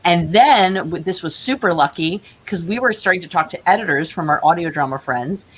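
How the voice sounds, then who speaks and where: Low-voiced woman over audible hiss. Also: animated, brisk. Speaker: guest, playwright. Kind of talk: casual interview chat about own projects. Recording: clear background hiss.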